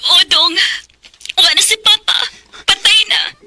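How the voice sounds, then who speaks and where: A woman's voice: extremely high pitch, slow pace, bright, forceful tone, heavily acted. A woman playing a distressed elder sister in a Bisaya radio drama, with a Cebuano Filipino accent.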